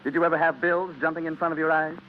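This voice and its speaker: A man's voice: fairly high pitch, level tone, fast talker, over loud music. An American man announcing on 1940s radio, reading scripted sponsor talk in a conversational, persuasive way. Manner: conversational, rhetorical questions to listeners. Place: radio broadcast studio. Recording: muffled, noisy 1940s radio recording.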